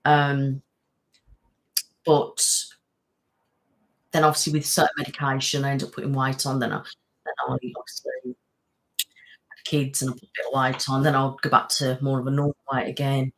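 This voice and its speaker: A woman's voice: rumbling low, little pitch movement, normal speed, long pauses, fairly bright tone, poor audio. A middle-aged British woman, an ASMR channel host, chatting casually on a live stream and answering viewers, rambling with many fillers. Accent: British English.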